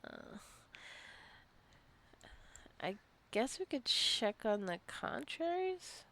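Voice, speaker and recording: A woman's voice: fairly high pitch, sing-song, drawn-out words, gravelly, bright, forceful tone. A woman giving casual, rambling commentary while playing a game, jokingly scolding her characters and sounding a little tired. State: tired, wants coffee. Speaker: gameplay streamer.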